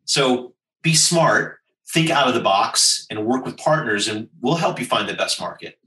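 An American man answers interview questions with emphatic, persuasive advice, stacking points in lists. His voice is bright and forceful. He sits a little back from the mic in a roomy space. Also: mid-range voice, lively intonation, unhurried, distant mic. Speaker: business executive guest.

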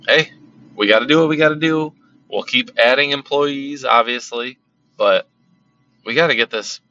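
Rambling man with a bright, slightly hoarse voice. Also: mid-range voice, slight background noise. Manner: rambling, repeats words, many fillers. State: losing his voice. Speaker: card collecting channel host. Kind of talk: casual monologue. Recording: slightly dull lo-fi sound.

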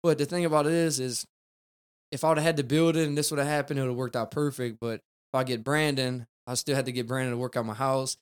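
A man's voice: mid-range voice, fairly even intonation, brisk, clean tone. A man, a car detailer chatting casually as a podcast guest in a rambling, laid-back way. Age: 20s.

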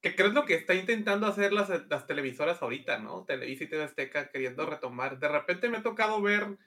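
Hombre mexicano relajado, voz algo aguda. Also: male voice, fairly high pitch, expressive, fast talker, bright, forceful tone. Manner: laid-back, short interjections. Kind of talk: casual chat.

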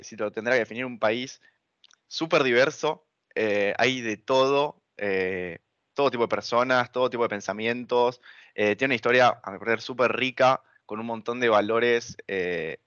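A man's voice: mid-range voice, fairly bright tone, no echo. A young man with a Buenos Aires Argentine accent, giving earnest interview answers in a hesitant, thinking-aloud way. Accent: Buenos Aires Argentine Spanish. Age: young adult. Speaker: interviewee, student activist. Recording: dull lo-fi audio.

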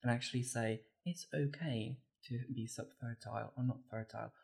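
A man in his thirties, born and raised in the Republic, telling his story earnestly with halting restarts as a podcast guest. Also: medium pitch, creaky, darkish tone, slightly rough. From Czech Republic. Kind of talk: conversational storytelling.

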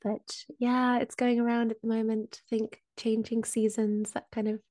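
Woman with a cold explaining crypto flatly. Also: fairly high pitch, moderate pace, no echo, clean studio sound. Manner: hesitant, many ums, explains step by step. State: has a cold. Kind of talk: casual podcast explainer.

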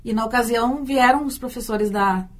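Thoughtful Brazilian woman, fairly high voice. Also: normal speed. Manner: thoughtful, often repeats words as she thinks. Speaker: university teacher and storyteller. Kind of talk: radio interview answer.

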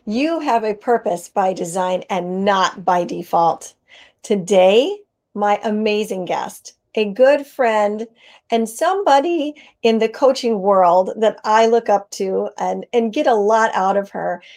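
A woman's voice: medium pitch, lively intonation, takes it slowly, darkish tone. A woman hosting an interview podcast, speaking in an enthusiastic, effusive way. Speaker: podcast host.

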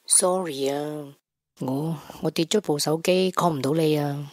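A woman's voice: deep voice, a little slow, no echo, studio quality. A woman with a Hong Kong Cantonese accent voicing an earnest teenage boy in a radio drama, who talks in a stammering, sulky way. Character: earnest teenage boy, devoted to his mother.

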